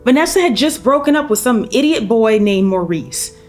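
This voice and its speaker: A woman's voice: a little high, expressive, moderate pace, darkish tone, soft background music, close to an everyday voice. A woman playing an angry, worn-down mother in a script read, telling her story in a blunt, folksy way. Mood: bitter, weary. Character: angry, weary mother of a missing teenage daughter. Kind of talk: screenplay table read.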